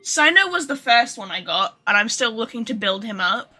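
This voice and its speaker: A woman's voice: a little high, sing-song, moderate pace, pushed and bright. A woman livestreaming a video game, chatting casually to viewers in a rambling, informal, sweary way.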